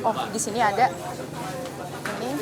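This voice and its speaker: A woman with a fairly high voice reports for television from a busy market. She is conversational and inquisitive, switching between casual questions and addressing viewers, with clear crowd and traffic noise around her. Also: fairly even intonation, normal speed. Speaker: television news reporter presenting an investigation.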